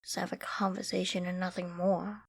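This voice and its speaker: A woman's voice: fairly low pitch, level tone, normal speed. A woman playing an obsessive, lovesick young survivor in an intimate roleplay drama, sweetly controlling, affectionate and possessive. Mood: wounded, resentful. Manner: affectionate, giddy, possessive, with breathy sighs and giggles.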